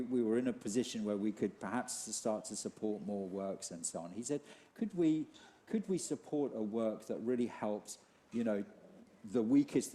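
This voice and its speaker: A middle-aged man leading an interview in church, talking haltingly with many restarts. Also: mid-range voice, dark tone. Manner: hesitant, many restarts and fillers. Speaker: church leader hosting an interview. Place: church hall.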